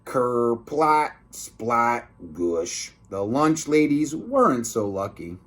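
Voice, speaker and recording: A man's voice: mid-range voice, sing-song, takes it slowly, fairly bright tone. A man reading a children's book aloud expressively, like a teacher, with informal asides to his listeners.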